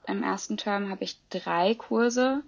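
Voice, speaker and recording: A woman's voice: mid-range voice, takes it slowly, vocal fry, no room sound. A woman in her twenties chatting in an interview, rambling with many fillers and restarts. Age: 20s. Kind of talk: casual interview chat. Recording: dull lo-fi dry sound.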